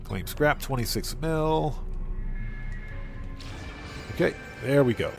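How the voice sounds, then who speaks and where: A man with a mid-pitched voice hosts a gaming livestream in a casual, chatty way. He thinks aloud as he plays, often repeating short phrases, and speaks to his viewers. Music plays faintly behind him. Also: lively intonation, slow pace, vocal fry. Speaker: livestream host. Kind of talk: casual gaming livestream commentary, greeting chat.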